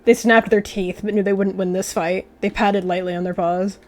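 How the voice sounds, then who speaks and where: A woman's voice: mid-range voice, fairly even intonation, normal speed, a little mellow, faint music behind. A woman narrating a roleplay story dramatically as she plays. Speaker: roleplay narrator, video creator.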